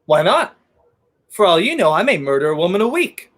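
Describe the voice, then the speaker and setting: A man's voice: sits high, sing-song, a little fast, fairly bright tone. A man playing a brash, sardonic, commanding fugitive in a 1930s radio play. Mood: sardonic menace. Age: young adult. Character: brash wrongly accused man on the run, sardonic, urgent.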